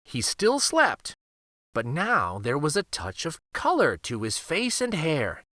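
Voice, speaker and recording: Male children's story reader: unhurried, very expressive, bright tone, dry close recording, dramatic picture-book delivery. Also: a little high, no room sound. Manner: expressive, deliberate, theatrical.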